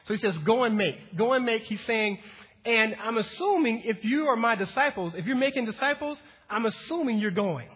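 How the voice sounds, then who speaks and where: A man preaching a teaching sermon to his congregation, talking casually at first and building to emphatic, energetic preaching. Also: very high-pitched, normal speed, slightly rough. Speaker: pastor. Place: church hall with congregation. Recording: dull lo-fi recording in a reverberant hall.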